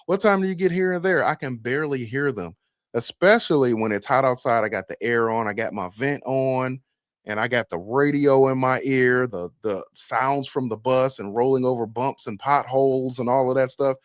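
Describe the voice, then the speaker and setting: A man's voice: mid-range voice, moderate pace, no room sound. American man, casual commentary, dry close sound. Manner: opinionated, rhetorical questions, emphatic. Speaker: bus operator and video host.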